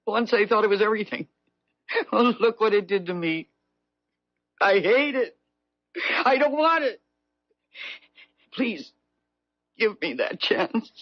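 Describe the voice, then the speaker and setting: A woman's voice: medium pitch, expressive, slow pace, long pauses, no echo. An actress playing a remorseful, desperate middle-aged woman with an overwrought, theatrical delivery in a 1970s radio drama. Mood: anguished pleading. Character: remorseful, desperate aging woman haunted by guilt. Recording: dull vintage radio broadcast recording.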